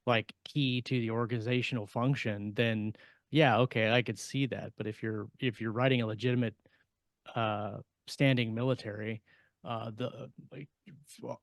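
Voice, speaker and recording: A man's voice: mid-range voice, steady tone, normal speed, dry recording, studio quality. A man talking casually as a co-host in a podcast panel discussion.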